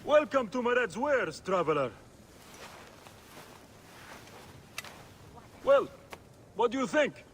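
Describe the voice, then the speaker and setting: Casting: wheedling, mocking merchant, game cutscene; highish, animated voice, faint noise. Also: male voice, normal speed. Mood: salesman patter. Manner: wheedling, flippant. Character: sly, mocking market trader selling secrets. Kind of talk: video game cutscene acting.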